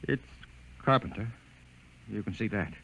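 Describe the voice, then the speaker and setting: An actor playing an alarmed military commander in a 1950s radio drama, urgent and barking. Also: male voice, on the low side, lively intonation, a little slow, gravelly, fairly bright tone, a little hiss or hum, exaggerated voice, poor audio.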